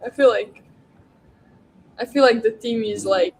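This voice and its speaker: A woman's voice: fairly high pitch, measured pace, a little mellow, a little hiss or hum, rough recording. A young woman with a Slovenian accent, a basketball player guesting on a podcast, talking casually with many "like"s and "um"s. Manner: lots of "like" and "um", thoughtful. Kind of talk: casual interview chat.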